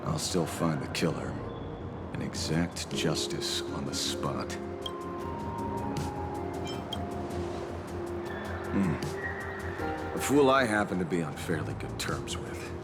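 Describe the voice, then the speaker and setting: A man's voice: a bass voice, expressive, heavily acted. An actor playing a theatrical, slightly awkward male detective with a vengeful streak in a video game. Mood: grave, resolute. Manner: theatrical, swings from grave and measured to flustered stammering. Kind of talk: dramatic video game character acting. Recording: background music under dialogue.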